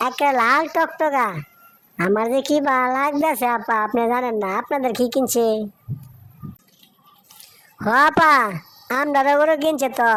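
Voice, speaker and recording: Woman playing a chatty, high-voiced village housewife. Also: a bit bright, dry recording, low-quality recording. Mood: joyful. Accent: Bangladeshi regional Bengali. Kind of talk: cartoon moral story dialogue.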